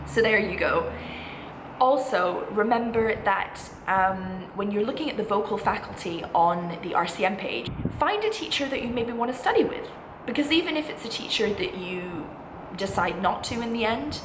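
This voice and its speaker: A woman's voice: medium pitch, lively intonation, moderate pace. A woman in her twenties, a student vlogger, giving chatty, encouraging, emphatic advice straight to viewers in a casual style. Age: 20s. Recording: echoey room with clear background noise.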